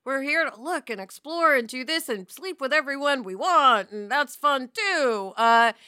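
A woman's voice: sits high, animated, unhurried, sharp tone, no room sound, studio quality. A woman talking casually and emphatically as a podcast panelist, sharing reflective opinions.

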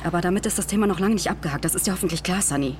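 An actress playing a sharp-tongued female astronaut in a scripted German audio drama. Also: on the low side, rapid-fire, slight background noise, natural voice. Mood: annoyed, tense. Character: irritated, sharp-tongued female astronaut, composed and joking in public.

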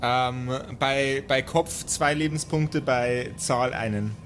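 A man's voice: medium pitch, slow pace, a bit bright, slight background noise. A man improvising narration as game master of a tabletop game, with mock-formal storytelling phrasing. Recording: music bed behind the voice.

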